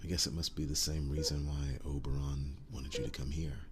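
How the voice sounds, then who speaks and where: Man playing a very deep, hushed werewolf boyfriend. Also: level tone. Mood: pensive, murmuring. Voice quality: breathy, hushed, very low voice. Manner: halting, slow, soft-spoken with long pauses. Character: protective, world-weary young male werewolf boyfriend, devoted and tender. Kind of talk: intimate ASMR boyfriend roleplay.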